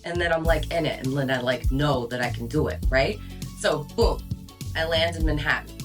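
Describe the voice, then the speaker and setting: A woman's voice: low voice, normal speed, music in the background. A woman hosting and coaching voice-over in a casual, chatty, emphatic instructional style. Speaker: voice-over coach and show host. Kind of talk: casual instructional video chat.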